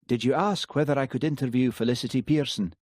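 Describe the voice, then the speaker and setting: A man playing a shrewd, easygoing Highland constable, dry and understated. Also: quick pace, a little mellow, dry recording, lightly acted.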